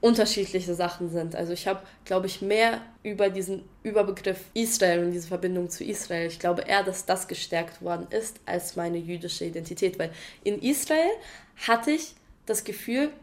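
A young woman answers interview questions and thinks out loud as she goes. She sounds hesitant and reflective, and she qualifies her points. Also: on the low side, moderate pace.

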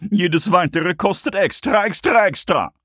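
A man's voice: high-pitched, animated, measured pace, fairly bright tone, dry recording, clean studio sound, voice pushed up, full character voice. A man voicing an arrogant male stork in a children's audiobook, stuttering and repeating his words. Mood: boastful.

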